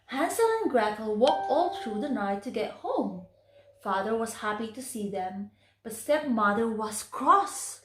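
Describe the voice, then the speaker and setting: A woman narrating a children's story in an expressive, deliberate storytelling manner. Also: fairly high pitch. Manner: slow, deliberate, animated delivery for children. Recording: echoey room.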